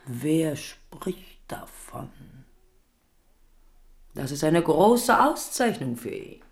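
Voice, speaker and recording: A woman's voice: deep voice, very animated, very slow, full character voice. An actress in a German radio play playing a scheming, smugly amused queen mother with condescending manner. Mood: coolly soothing.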